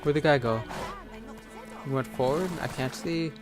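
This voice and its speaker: A man's voice: medium pitch, normal speed. A man giving casual commentary as he plays a video game, sometimes reading on-screen text aloud. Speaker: gaming video host. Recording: voice over loud game music. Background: game music and horse sounds.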